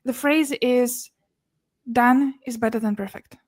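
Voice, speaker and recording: Russian-born woman, bright and dry, earnest. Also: on the high side, measured pace, no echo. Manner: earnest, many restarts and laughs between words. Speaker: podcast guest, business and mindset mentor. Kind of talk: casual interview conversation.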